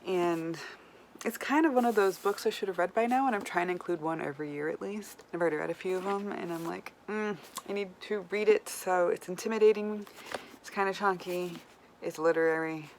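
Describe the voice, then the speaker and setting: A woman chatting casually to viewers as a book vlogger, with animated, self-deprecating asides. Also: on the low side. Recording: clear background room noise.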